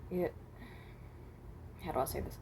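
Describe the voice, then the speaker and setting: A woman playing a hesitant, mild-mannered traveler from another dimension, speaking haltingly and often rephrasing in improvised character voice lines. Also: background noise, natural voice. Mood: uneasy, evasive.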